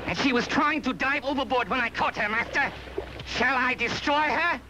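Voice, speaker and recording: A man voicing a servile, bloodthirsty, whining villain's henchman in a cartoon, with a drawn-out delivery. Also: very high voice, background noise. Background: background music.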